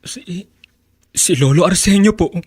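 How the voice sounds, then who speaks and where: A man's voice: high voice, measured pace, husky. A man playing a dutiful, worried young grandson in a radio drama, speaking respectfully and pleadingly. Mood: hurt.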